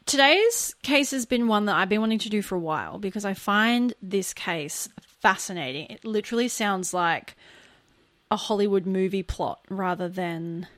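A woman's voice: mid-range voice, moderate pace, fairly bright tone, no echo. Blunt woman telling a crime case. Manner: blunt, teasing. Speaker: podcast co-host. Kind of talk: casual true-crime chat and case telling.

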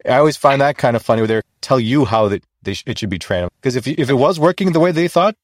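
A man's voice: medium pitch, lively intonation, fast talker, no room sound, clean studio sound. A man hosting an interview, agreeing often and asking loose, rambling questions. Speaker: podcast host. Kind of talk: casual interview conversation.

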